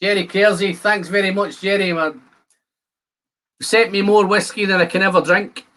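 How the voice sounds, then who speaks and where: A man's voice: high-pitched, steady tone, normal speed, sharp tone. A man in his fifties with a Scottish accent, chatting casually to viewers as the host of a live stream. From Scotland. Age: 50s. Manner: chatty, addresses viewers by name.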